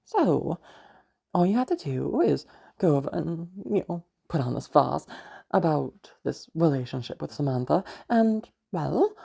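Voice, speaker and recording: A woman acts out a domineering, sanctimonious mother in an audio drama. Her voice is dark and husky, full of gravel. She talks down to her listener with deliberate, menacing condescension. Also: low-pitched, big pitch swings, exaggerated voice. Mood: smug. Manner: condescending, slow deliberate taunting with hums. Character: controlling, sanctimonious, menacing mother of a grown daughter. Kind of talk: amateur audio roleplay drama.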